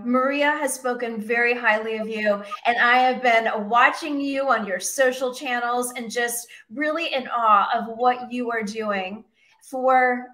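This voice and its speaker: A woman's voice: a little high, bright, forceful tone, rough recording. A woman hosting a talk show and interviewing a guest, speaking emphatically and repeating her questions.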